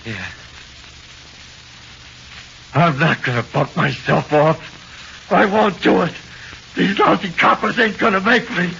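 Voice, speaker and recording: An actor playing a frightened small-time killer in 1940s radio horror, with nervous, halting, slangy talk. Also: male voice, sits high, vocal fry, pushed and bright, exaggerated voice. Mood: defiant. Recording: muffled hissy 1940s radio recording.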